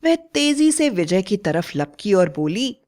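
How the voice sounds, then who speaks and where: A woman's voice: mid-range voice, very animated, unhurried, a little mellow. A woman narrating a scripted horror fairy tale in a dramatic storytelling style.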